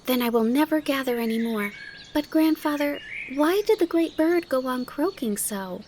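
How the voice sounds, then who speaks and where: A woman voicing an excitable, curious little girl in a storybook, full of exclamations and eager questions. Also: high voice, moderate pace, slight background noise, pitched above the performer's own voice, close to an everyday voice.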